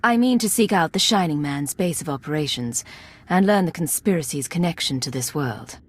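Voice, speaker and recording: An actress playing a composed, resolute imperial agent in a video game, husky and measured in delivery. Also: female voice, low voice, animated, no echo. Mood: businesslike. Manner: measured, formal, controlled.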